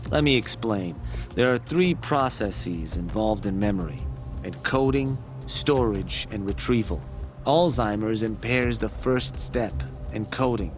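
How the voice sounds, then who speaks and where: An actor playing a patient, earnest medical expert who explains things in a measured, articulate, didactic way in a video game. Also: male voice, on the low side, lightly acted. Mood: patient explaining. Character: learned male medical expert, patient, earnest, wryly teasing lecturer. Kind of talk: English video game dub, explanatory dialogue. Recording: background music under the voice.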